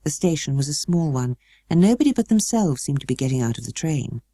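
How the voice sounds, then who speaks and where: British lady audiobook narrator, mellow tone, expressive scripted reading, really dry studio sound. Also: low voice, brisk, no echo. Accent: British English.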